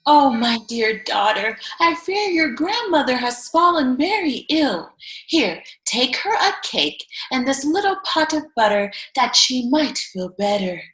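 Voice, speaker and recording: A woman playing a doting fairy tale mother in a comic radio play, theatrical and drawn-out. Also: sits high, very animated, fairly bright tone, reverberant, full character voice, rough recording. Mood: fond, fretful. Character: doting storybook mother of Little Red.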